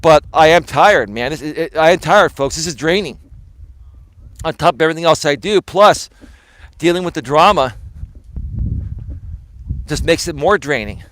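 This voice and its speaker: A man live streaming outdoors on his phone while walking, talking to viewers in a rambling, emphatic way, sounding tired and a little hoarse. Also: fairly high pitch, expressive, normal speed, long pauses, a bit bright. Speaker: life coach and live streamer. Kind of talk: casual live stream talk to chat. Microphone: phone camera held while walking.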